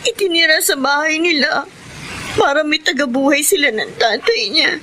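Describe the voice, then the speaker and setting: An actress playing a humble, betrayed young housemaid in a Filipino radio drama, emotive and pleading. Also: female voice, sits high, normal speed, noisy background, lightly acted. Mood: hurt, sorrowful. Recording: music behind.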